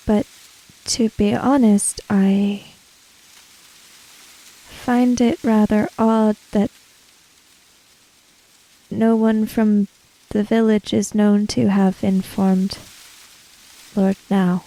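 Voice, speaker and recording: A woman acts out an earnest samurai-era woman for a tabletop roleplaying game. The voice is mellow and close, with a creaky edge, and each formal sentence is laid down slowly and carefully. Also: medium pitch, no echo, rough recording. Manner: measured, formal diction without contractions.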